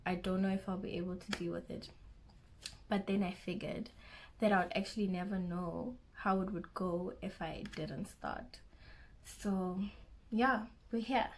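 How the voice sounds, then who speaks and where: A young woman with a South African accent talks slowly and unhurriedly to camera, chatty and a bit self-conscious, often restarting her sentences. A faint hum of room ambience sits behind her voice. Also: on the low side, steady tone. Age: young adult. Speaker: vlogger. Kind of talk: casual first-vlog chat.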